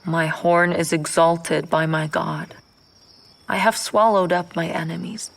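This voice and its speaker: A woman's voice: on the low side, steady tone, creaky. A devout mother praying. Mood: exultant. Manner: solemn, measured recitation. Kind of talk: audio drama scripture reading. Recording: studio recording with soft music underneath.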